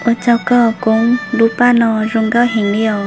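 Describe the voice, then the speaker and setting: A woman's voice: fairly high pitch, little pitch movement, moderate pace, over music, close to an everyday voice. A woman voicing a young village woman in a homemade cartoon, talking in a plain, conversational way and recorded on a phone. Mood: chatting. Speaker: voice actor. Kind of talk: amateur cartoon voice acting. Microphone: phone microphone.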